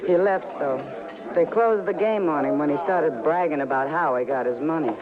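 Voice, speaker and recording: A woman's voice: low voice, normal speed, natural voice. An American woman playing a worldly, sardonic Old West saloon woman in a radio western, with a wry and frank manner. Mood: casual. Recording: narrow-band old radio recording with music.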